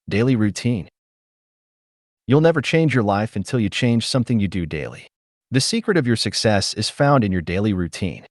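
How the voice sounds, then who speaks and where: A man reading a self-help audiobook as scripted narration, measured and instructive, recorded close in a dry space. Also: medium pitch, darkish tone, dry recording.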